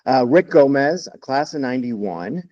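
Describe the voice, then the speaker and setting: A man in his fifties from Texas, a podcast guest telling his story in a relaxed, reflective way with many fillers. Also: mid-range voice, unhurried, no echo, highs cut off. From Texas, United States. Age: 50s. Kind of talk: interview storytelling.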